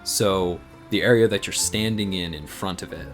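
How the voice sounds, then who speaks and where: A man with a low voice narrates a tabletop game scene casually. He pieces it together in halting phrases, with light music underneath.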